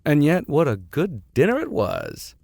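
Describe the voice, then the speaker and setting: A man's voice: fairly high pitch, huge pitch swings, unhurried, vocal fry, a little mellow, no echo, studio quality. A man reading a classic story aloud as host, theatrical in delivery, with wry asides. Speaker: reader, host.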